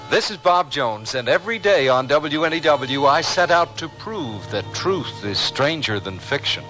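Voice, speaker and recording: A man's voice: on the high side, animated, moderate pace, sharp tone, soft background music, low-quality recording. A man reading a scripted promo as a radio host.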